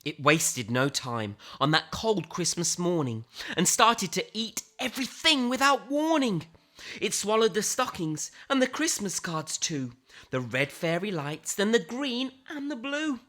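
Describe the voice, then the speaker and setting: A woman reading a children's picture book aloud in a theatrical way, with dramatic emphasis and suspenseful pauses. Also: medium pitch, big pitch swings, fairly bright tone. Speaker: storybook reader.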